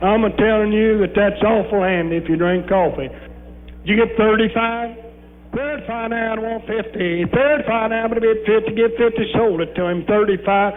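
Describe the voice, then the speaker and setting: A man with a rural southern Indiana accent working as a country auctioneer, brash and folksy as he pitches to buyers. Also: normal speed, slight background noise. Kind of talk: auction sales pitch and bid chant. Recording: dull 1960s film soundtrack from auction barn.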